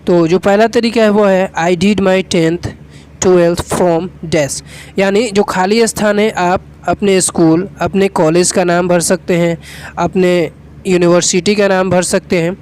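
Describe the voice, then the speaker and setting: A teacher talks through a spoken English lesson one step at a time. The voice sits at a middle pitch, and example phrases are spoken slowly and carefully before each is explained. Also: fairly even intonation, a little mellow, a little hiss or hum.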